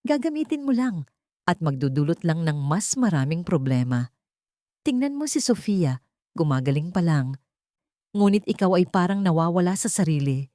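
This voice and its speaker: A woman's voice: fairly low pitch, very animated, moderate pace, dark tone, dry recording, clean studio sound. Woman voicing a scolding, suspicious old grandmother. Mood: scolding, reproachful. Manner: scolding, complaining. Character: nagging, suspicious elderly grandmother, a complaining mother.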